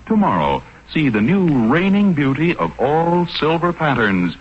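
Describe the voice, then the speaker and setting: A man's voice: on the high side, sing-song, a little slow, breathy edge. A man reading a formal 1940s radio advertisement with grand, polished salesmanship. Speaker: commercial announcer. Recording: dull 1940s radio recording with music.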